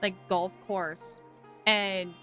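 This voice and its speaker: A woman chatting casually on a game livestream, reacting in an animated, exclamatory way. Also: mid-range voice, drawn-out words. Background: game music.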